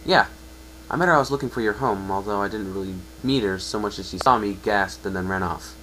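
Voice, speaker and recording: A man's voice: on the low side, a little fast, sharp tone, poor audio. A man voicing a confident, easygoing young male pony with a casual manner in a fan dramatic reading. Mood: recalling. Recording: home recording with faint hum.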